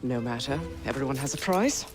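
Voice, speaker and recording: A woman's voice: a bass voice, animated, a little fast, over music. An actress playing a shrewd, refined Victorian businesswoman in a game cutscene, with poised, polished diction. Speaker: businesswoman Pearl Attaway.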